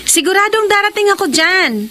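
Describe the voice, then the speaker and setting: A female actor playing a spirited, sensible young provincial woman, expressive and bantering, in a Filipino radio drama. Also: very high voice, moderate pace, a little hiss or hum, exaggerated voice. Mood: earnest, cheerful.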